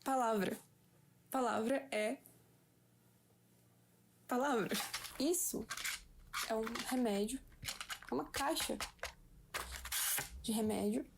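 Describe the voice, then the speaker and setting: A young Brazilian woman giving a slow, patient, playful lesson at home to a friend. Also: fairly high pitch, gravelly, background noise. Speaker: language teacher for a friend. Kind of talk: casual home-made lesson.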